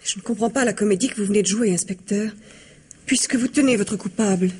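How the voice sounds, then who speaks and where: A woman's voice: mid-range voice, fast talker, a little mellow, a little hiss or hum, lightly acted. An actress playing an earnest, composed young lady suspect in a vintage radio mystery.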